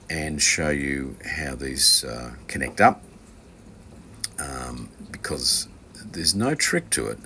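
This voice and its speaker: Very deep-voiced man, offhand tutorial talk. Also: pushed and bright, slight background noise. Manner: hesitant, rambling delivery with dry, offhand asides. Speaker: instructor from a loudspeaker kit maker. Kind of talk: informal hands-on assembly tutorial, unscripted.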